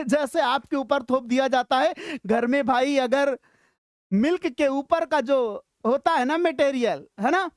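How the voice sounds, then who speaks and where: A woman's voice: high voice, a little slow, no room sound, studio quality. A woman with an Indian accent teaching an informal lesson, chatty and encouraging, often checking that learners follow. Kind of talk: informal grammar lesson mixing Hindi and English.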